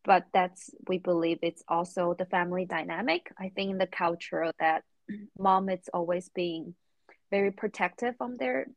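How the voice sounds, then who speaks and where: Low-pitched young woman, English speaker. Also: dry recording. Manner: halting, careful phrasing in non-native English. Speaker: podcast guest, recent therapy student. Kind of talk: reflective interview answers.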